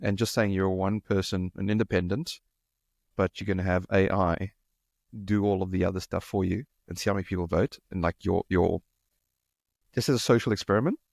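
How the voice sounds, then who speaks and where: A man's voice: deep voice, fairly even intonation, a little fast, vocal fry, a little mellow, dry recording, clean studio sound. A man from South Africa co-hosting a podcast, casually chatting and tossing out playful questions and challenges. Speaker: podcast co-host. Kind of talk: casual conversation.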